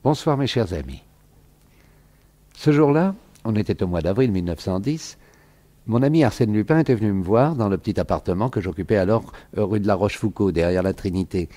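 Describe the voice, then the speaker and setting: An actor playing a genial storyteller who recalls his friend's adventures, narrating in measured 1950s French radio drama style. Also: on the low side, animated, mellow. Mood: genial, reminiscing. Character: storyteller friend of a gentleman burglar, genial, reminiscing.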